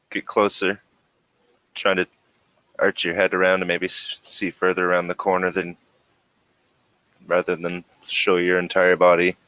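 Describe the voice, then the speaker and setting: A man with a very low voice acts as game master for a tabletop horror game. He tells the story with suspense and deliberate pauses. The sound is dry and close. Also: pushed and bright, clean tone, no echo, rough recording.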